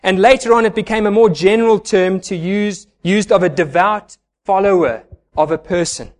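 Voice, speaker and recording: A man's voice: sits high, normal speed. A man preaching a sermon in a church, earnest and pleading as he explains scripture. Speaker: pastor.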